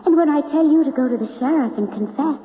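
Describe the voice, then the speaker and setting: A woman's voice: high-pitched, quick pace, phone-quality sound, close to an everyday voice. Actress as an echoing, commanding otherworldly woman. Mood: gentle persuasion. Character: gentle, commanding otherworldly woman, joined with a dead woman. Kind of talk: old-time radio drama acting. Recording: echoing, noisy, phone-thin old radio recording.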